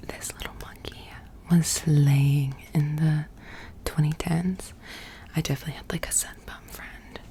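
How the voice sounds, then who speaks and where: An American woman doing soft-spoken ASMR, talking in a casual, chatty and informal way. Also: low-pitched, fairly even intonation, a little slow. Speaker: ASMR creator.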